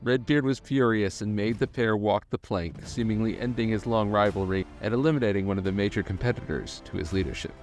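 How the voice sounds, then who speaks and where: A man reading scripted documentary-style narration in a measured, articulate storytelling manner. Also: fairly low pitch, fairly even intonation. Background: background music.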